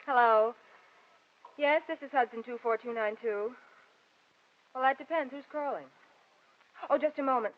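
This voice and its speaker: An actress playing a detective's coy, obliging young sweetheart in a 1940s radio drama, speaking softly. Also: female voice, on the high side, moderate pace, pauses often, close to an everyday voice. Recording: dull, noisy vintage radio recording.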